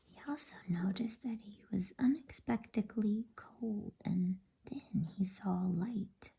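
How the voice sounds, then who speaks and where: A woman reading a bedtime story aloud as ASMR, in a soft, slow and calm unvoiced whisper. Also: mid-range voice, gravelly, breathy edge.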